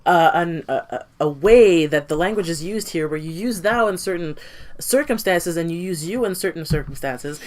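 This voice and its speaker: A woman's voice: on the low side, lively intonation, normal speed, a little mellow. A woman whose first language is not English, thoughtfully discussing a novel on a book podcast panel, with many restarts.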